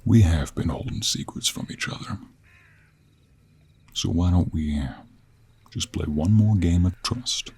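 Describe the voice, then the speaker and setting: A man playing a gruff, gentlemanly cowboy monster hunter in an intimate audio roleplay, with an American Western accent, a gravelly, husky voice and a slow, courteous drawl. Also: deep voice, heavily acted. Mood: earnest, gentle. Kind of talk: intimate one-sided audio roleplay acting.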